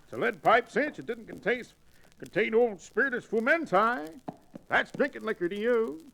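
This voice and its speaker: An actor playing a blustery old reprobate cowboy sidekick with pompous, florid diction in an old-time radio Western. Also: male voice, extremely high pitch, expressive, moderate pace, gravelly, sharp tone, heavily acted. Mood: puzzled indignation. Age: older. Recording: noisy vintage radio drama recording.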